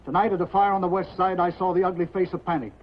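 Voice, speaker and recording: Man acting a high-pitched, stern newspaper boss. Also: level tone, fast talker, lightly acted. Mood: grave. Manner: firm, admonishing. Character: stern, practical male newspaper editor and boss. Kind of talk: 1950s American television drama acting. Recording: muffled, noisy 1950s television soundtrack.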